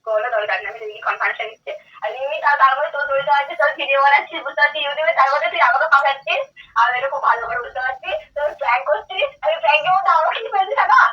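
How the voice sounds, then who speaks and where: Young woman on a dull phone line, quick, insistent, questioning casual talk. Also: high-pitched, far from the mic. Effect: telephone. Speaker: prank call recipient.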